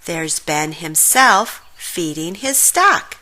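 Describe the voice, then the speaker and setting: امرأة بصوت ساطع جدًا تقرأ قصة للأطفال. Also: female voice, on the low side. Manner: expressive, deliberate phrasing for young readers. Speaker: storybook reader. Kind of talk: children's read-aloud narration.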